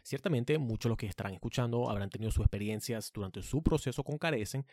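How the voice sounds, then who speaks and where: A man's voice: medium pitch, fast, darkish tone, no echo, studio quality. A man hosting a community radio show, speaking in a formal, scripted way. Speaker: radio host.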